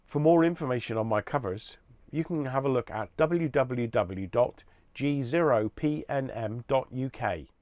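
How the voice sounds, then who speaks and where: A man giving an explanatory product presentation in a measured, orderly way. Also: medium pitch, expressive, a little mellow, dry recording.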